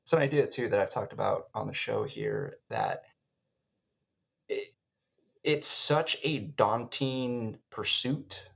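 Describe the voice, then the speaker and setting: A man hosting a conversational podcast, speaking in a wordy, halting, reflective way. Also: lowish voice, very animated, gravelly, a bit bright, highs cut off. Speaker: podcast host.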